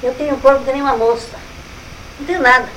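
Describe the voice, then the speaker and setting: An older woman with a Brazilian accent, telling family stories in a lively way that is full of exclamations and repetition. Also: high-pitched, quick pace, a little hiss or hum, low-quality recording. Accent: Brazilian Portuguese. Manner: lively storytelling, exclaims and repeats herself. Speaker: family elder recalling relatives. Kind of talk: casual family conversation.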